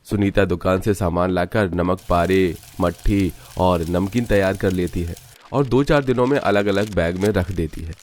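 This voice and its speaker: A man's voice: deep voice, moderate pace, a little mellow. A man reading a scripted story as its narrator.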